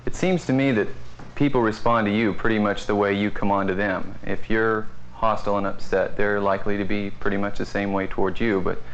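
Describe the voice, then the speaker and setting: A man with a fairly low voice offers a measured driver's testimonial. The old film sound is dull, with slight background noise. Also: steady tone. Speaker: bus driver.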